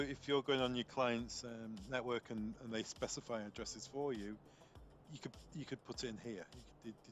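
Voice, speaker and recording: A man presenting an unscripted software tutorial step by step, explaining as he goes and often repeating words as he finds his phrasing. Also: lowish voice, slight background noise. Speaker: product trainer demonstrating intercom software.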